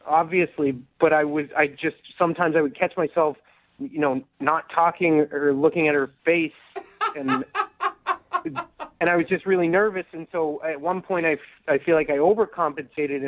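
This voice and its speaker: A man's voice: on the high side, moderate pace, no echo. A man calling in to a radio show by phone, telling his story casually in a nervous, hesitant, apologetic way. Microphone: phone call. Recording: narrow, tinny phone line.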